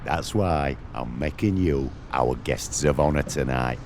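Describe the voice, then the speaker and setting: A man's voice: very deep voice, measured pace, darkish tone, a little hiss or hum. A man playing a hearty, proud but good-natured village headman in a video game, with a declamatory delivery. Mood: warm, proud.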